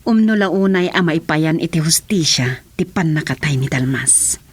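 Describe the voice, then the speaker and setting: Radio drama role: concerned, justice-minded, Ilocano accent, very husky and rather low. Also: female voice, expressive, moderate pace.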